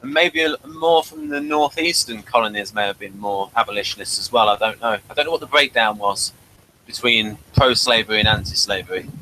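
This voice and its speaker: A man's voice: on the low side, fairly quick, sharp tone, a little hiss or hum, low-quality recording. A man hosting a casual review chat, rambling along with jokey asides. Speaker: host of an audio drama review show.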